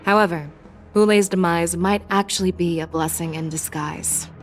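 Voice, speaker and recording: A woman's voice: on the low side, steady tone, normal speed, faint music behind, natural voice. A woman playing a shrewd, self-assured general in a video game, speaking formally with cool calculation. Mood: assured, pragmatic. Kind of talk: scripted video game dialogue.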